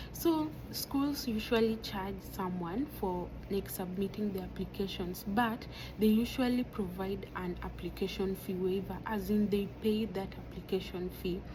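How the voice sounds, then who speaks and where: A young woman with a Kenyan accent explains things in a relaxed, informal way, peppering her talk with "like". Her voice sits at a middle pitch. Steady background noise is clearly heard behind her. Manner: informal, uses many "like" fillers. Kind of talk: casual explainer video. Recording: noisy background.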